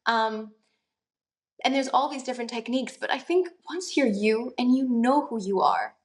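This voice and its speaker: A woman's voice: fairly high pitch, big pitch swings, normal speed. A young adult woman, an actress and singer, talking earnestly in a conversational interview, musing aloud with lots of fillers.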